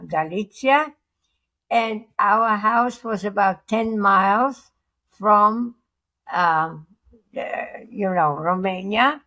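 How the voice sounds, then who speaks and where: A woman, slowly and haltingly recalling her life as an interview guest. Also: medium pitch, fairly bright tone, poor audio. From Poland. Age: 90s. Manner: slow, halting, with fillers like "you know". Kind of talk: casual reminiscing interview.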